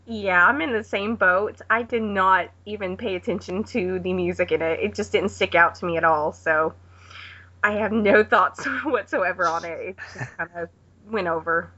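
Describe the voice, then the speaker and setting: A woman's voice: on the low side, normal speed, pushed and bright, dull audio. A woman giving casual opinions as a guest panelist on a movie podcast.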